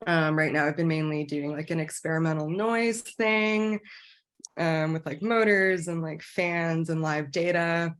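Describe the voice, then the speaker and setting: A woman's voice: sits low, steady tone, measured pace. A woman researcher and artist giving a casual, hesitant project introduction on a video call, with many fillers.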